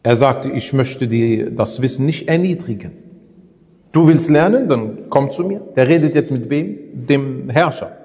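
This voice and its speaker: German-speaking preacher in a reverberant hall. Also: male voice, medium pitch, animated, unhurried, mellow. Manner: conversational, asks rhetorical questions. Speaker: Islamic preacher. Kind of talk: religious lesson.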